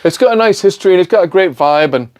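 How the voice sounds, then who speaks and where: A man's voice: high voice, expressive, fast talker, darkish tone. Casual middle-aged British man telling stories. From born in Milan, grew up in England and Scotland. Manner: informal, peppers talk with "man" and "you know". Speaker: podcast guest, recording engineer and studio owner. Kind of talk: casual interview storytelling. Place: recording studio.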